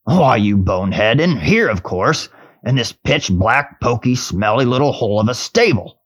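A man voicing a surly, cynical, stubborn dwarf in a storybook reading, with gruff, scornful grumbling. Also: mid-range voice, animated, normal speed.

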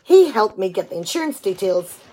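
An actress playing an exasperated, sarcastic wife in a radio play comedy, talkative and full of indignant questions. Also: female voice, medium pitch, sing-song, a little fast, dark tone, husky, rough recording. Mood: exasperated, chatty.

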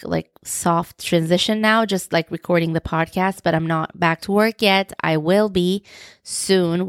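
A woman's voice: on the low side, no echo, studio quality. A young woman with a Turkish accent, hosting her own podcast, who talks in a reflective and openly personal way. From Turkey. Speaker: podcast host. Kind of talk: solo podcast monologue.

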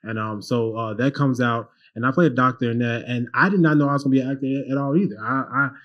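A man in his twenties tells his story quickly and with energy, circling back and repeating words as he goes. The sound is a little dull and lo-fi, and his pitch sits in an ordinary middle range. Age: 20s. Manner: animated, repeats and restarts often. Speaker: guest minister. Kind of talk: casual interview talk.

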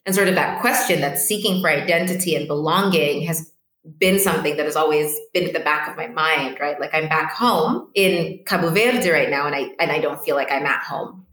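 A woman's voice: deep voice, a bit bright, roomy sound, rough recording. A woman in her late twenties, a guest coach, talking reflectively over a video call, often saying "like" and "right?". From born in Portugal, raised in Ethiopia. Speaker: podcast guest, empowerment coach. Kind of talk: casual interview talk.

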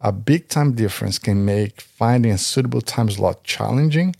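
A man with a low, dark voice and a gravelly fry. He talks in a steady, conversational way that sometimes halts mid-phrase, recorded close and dry. He is in his thirties. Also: no room sound. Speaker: podcast co-host. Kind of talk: scripted podcast talk.

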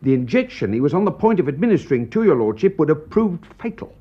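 An actor playing a loyal, deferential English manservant who speaks formally, precisely and dryly in a classic radio drama. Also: male voice, a little high, big pitch swings, fast talker, slightly rough, dull audio. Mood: respectful, measured.

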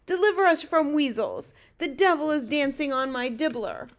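A woman voicing an excitable, dramatic male giant in a storybook reading, exclaiming in ungrammatical, folksy speech. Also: a little high, moderate pace. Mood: anguished, furious. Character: excitable big-eared male giant, dream catcher, dramatic.

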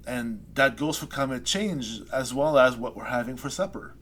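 Man, mid-pitched, thoughtful, hesitant restarts, soft trailing endings, faint background hiss. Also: moderate pace. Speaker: poet guest. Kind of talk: reflective interview chat. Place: Saskatoon resident.

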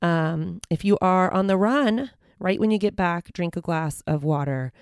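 Bubbly, slightly hoarse woman host. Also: fairly low pitch, expressive, measured pace, no room sound, studio quality. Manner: bubbly and encouraging. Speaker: podcast host. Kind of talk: upbeat self-help podcast talk.

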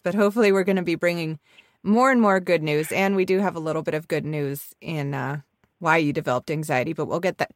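A woman's voice: lowish voice, no room sound, clean studio sound. Chatty woman, nasal from a cold. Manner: chatty, giggly, self-deprecating. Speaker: podcast co-host. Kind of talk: casual podcast chat.

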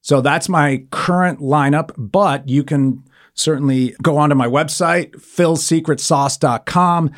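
A man speaking in a radio studio as an interview guest, his voice sitting at an ordinary middle pitch. He talks in a friendly, rambling way, filling pauses with "uh" and "um" as he explains things at length. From Argentina and Italy. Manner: friendly, rambling with frequent fillers. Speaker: guest, sauce company founder.

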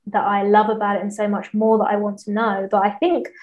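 Flat-toned woman explaining languages. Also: mid-range voice, a little fast, dull audio. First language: English. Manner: explanatory, lots of fillers and restarts. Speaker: Portuguese language teacher. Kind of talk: casual interview conversation.

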